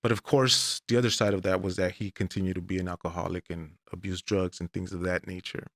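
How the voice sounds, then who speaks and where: A man in his forties, originally from Mexico, telling his life story as a guest on an interview podcast in a deliberate, measured way. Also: deep voice, little pitch movement, a bit bright, dry recording, studio quality. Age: 40s. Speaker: guest, English professor.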